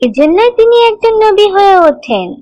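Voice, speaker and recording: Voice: very high-pitched, very animated, normal speed, a little mellow, no echo, lo-fi sound. A performer voicing an eager, curious little rabbit pupil in a children's cartoon, inquisitive and earnest. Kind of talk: scripted children's cartoon dialogue.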